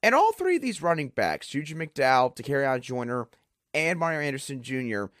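A hoarse man hosting a solo sports podcast, breaking down players in an emphatic, conversational way. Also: medium pitch, very animated, a little fast, a bit bright, dry recording, clean studio sound. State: hoarse, under the weather. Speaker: podcast host.

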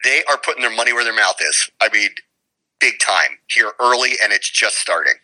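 A man's voice: on the low side, expressive, moderate pace, bright, forceful tone, low-quality recording. A man giving conversational sports commentary as a radio guest, emphatic and opinionated, often posing rhetorical questions.

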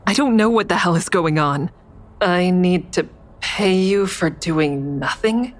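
A woman's voice: lowish voice, a little slow, natural voice. A woman acting an anxious, secretive character who is in over her head, narrating and speaking in the first person in an audio drama. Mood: wary, exasperated.

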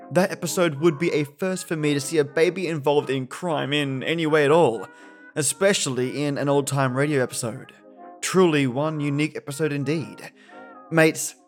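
A man hosting a podcast with chatty, genteel commentary, addressing listeners affectionately. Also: on the high side. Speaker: podcast host.

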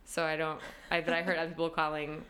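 A woman's voice: deep voice, completely flat delivery, quick pace, fairly bright tone. A young woman being interviewed as a guest, chatty and casual, with plenty of fillers and frequent laughs. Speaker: costume designer, guest.

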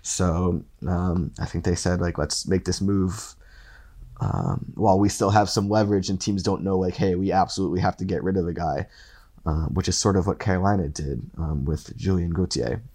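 A man's voice: low voice, normal speed, darkish tone. A man guest on a sports podcast, still recovering from an illness and coughing now and then, talking in a rambling way with many restarts. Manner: rambling, full of fillers and restarts. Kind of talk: casual sports podcast chat.

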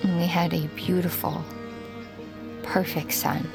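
An actress playing an anguished, protective middle-aged mother in an audio drama, tender and halting as she speaks. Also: fairly low pitch, little pitch movement, gravelly, over music. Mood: sorrowful, confessing. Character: anguished, protective mother confessing a family secret. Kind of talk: audio fiction acting.

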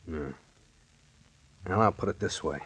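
An actor playing a stern, suspicious police captain in 1940s radio style, with a blunt, commanding way of questioning. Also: male voice, deep voice, unhurried, a bit bright, husky, no room sound, exaggerated voice. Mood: pressing, suspicious.

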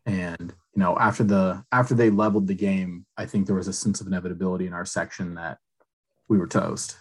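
A man co-hosting a casual sports chat, giving measured, thoughtful takes. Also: sits low, fairly even intonation, breathy edge. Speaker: podcast co-host.

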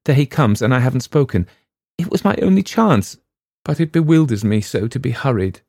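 A man voicing a frightened, trembling young woman who speaks in breathless, hurried pleas. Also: medium pitch, very animated, gravelly, mellow, dry recording, studio quality, pitched above the performer's own voice. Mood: pleading.

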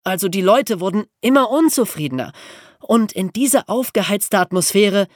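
Woman podcast host, really animated explainer for young listeners, dry close sound. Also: medium pitch, moderate pace, dry recording.

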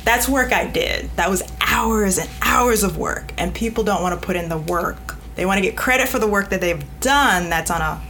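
A woman's voice: medium pitch, moderate pace, few pauses, over music. A woman guest on a panel interview, speaking emphatically and often voicing imagined replies as quotes. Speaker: podcast guest, literary editor.

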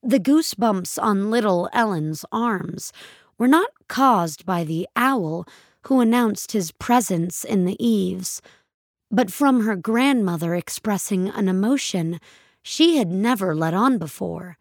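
A woman's voice: medium pitch, expressive, slow pace, dry recording. A woman reading scripted dramatic fiction as a narrator, recorded close on a studio mic.

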